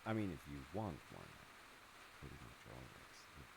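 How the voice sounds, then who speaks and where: Very deep, gravelly, gentle dad played by a man. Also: moderate pace, heavily acted. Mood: easygoing, musing. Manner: soft-spoken, hesitant, reassuring. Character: gentle, attentive father comforting his grown kid. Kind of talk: wholesome comfort audio roleplay, one-sided conversation. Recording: steady loud rain noise over voice. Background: rain sounds.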